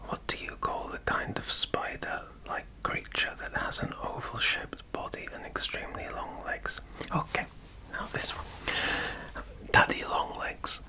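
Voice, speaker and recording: Slow-whispering man with English-Welsh accent. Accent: mixed English and Welsh. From England. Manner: soft unvoiced whisper, hesitant with many ums. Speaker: guest on girlfriend's ASMR channel. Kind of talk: whispered ASMR accent tag.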